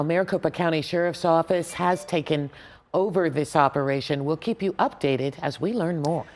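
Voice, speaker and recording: A woman's voice: deep voice, a little mellow. An American woman anchoring a TV newscast in a scripted news delivery.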